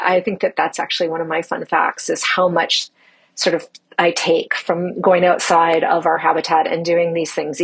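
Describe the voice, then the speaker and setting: A woman with a slightly low, noticeably husky voice gives an informal spoken update as a crew commander. She talks in an upbeat, emphatic way, stressing how things are. The delivery is unscripted, like a personal audio log. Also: poor audio. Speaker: mission commander of a Mars analog crew.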